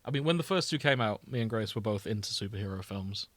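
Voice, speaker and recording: A man's voice: lowish voice, lively intonation, quick pace, no room sound. A man hosting a podcast, talking in casual banter with friends. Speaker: podcast host.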